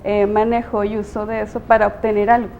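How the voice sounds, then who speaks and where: Mellow-voiced Mexican woman teacher explaining. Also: medium pitch, little pitch movement, a little fast, creaky, dull audio, a little hiss or hum. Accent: Mexican Spanish. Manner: thoughtful, explanatory, many hesitations. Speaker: teacher and guest. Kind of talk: interview answers.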